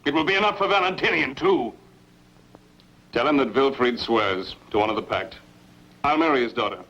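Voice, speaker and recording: A man playing a forceful young barbarian prince. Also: medium pitch, lively intonation, moderate pace. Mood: defiant, commanding. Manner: swaggering, blunt, commanding. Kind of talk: dubbed sword-and-sandal film dialogue. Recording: dull lo-fi dub with faint noise.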